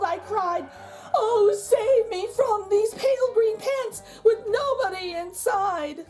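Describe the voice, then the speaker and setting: An easily spooked storybook narrator , acted out by a woman, tells a rhyming tale in a high, swooping voice. The delivery is slow and suspenseful, drawing words out for drama while insisting on being brave. Soft music accompanies it. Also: heavily acted. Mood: panicked. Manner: suspenseful, dramatic, drawn-out emphasis. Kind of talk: rhyming picture-book storytelling.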